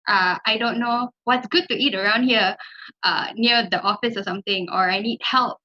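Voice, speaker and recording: A young woman who recently started as a graduate trainee, talking in a relaxed, conversational way from home on a video call. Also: a little high, moderate pace. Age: young adult. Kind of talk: casual panel talk. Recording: slightly muffled video call audio.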